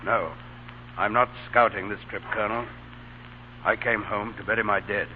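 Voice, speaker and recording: A man's voice: lowish voice, fairly even intonation, normal speed, close to an everyday voice. Confederate officer and loving father, male actor, earnest and honorable, vintage radio drama, narrow hissy sound. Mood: grave, resigned. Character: Confederate captain, devoted father, desperate but honorable. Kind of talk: 1930s-1940s radio acting. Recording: tinny, hissy old radio broadcast.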